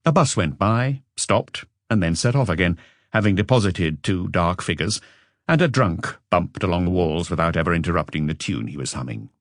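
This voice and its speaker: A man's voice: low-pitched, lively intonation, normal speed, dry recording, studio quality. A man reading a mystery novel as a scripted audiobook narration.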